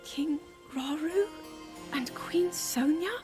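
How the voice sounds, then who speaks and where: A woman's voice: high voice, takes it slowly. A woman playing an earnest, polite young princess in a video game, hesitant and sincere. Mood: astonished. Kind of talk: video game cutscene acting. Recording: music behind the voice.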